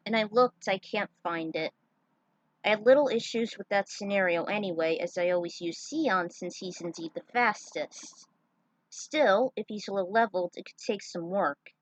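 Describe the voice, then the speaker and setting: A woman's voice: medium pitch, normal speed, no echo, muffled recording. A woman reading her own fan fiction and adding casual, opinionated commentary as its writer.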